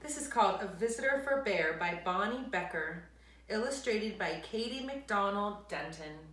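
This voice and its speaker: A woman storyteller reading aloud expressively to children, with dramatic emphasis, drawn-out words and hushed asides to her listeners. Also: mid-range voice, expressive, slight background noise. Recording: echoey room.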